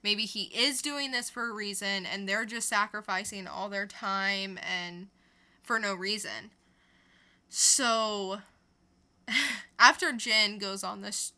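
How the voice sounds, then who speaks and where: A woman with a very bright, forward voice and an unhurried pace. She co-hosts a podcast and recaps stories in casual, informal review chat. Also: medium pitch. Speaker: podcast co-host.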